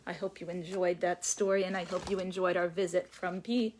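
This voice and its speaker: A woman's voice: on the low side, flat delivery, fairly quick, darkish tone, slight background noise. A woman children's librarian leading a read-aloud and sing-along, speaking to young children and inviting them to join in. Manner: addresses young children, invites counting and joining in. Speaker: children's librarian hosting a storytime.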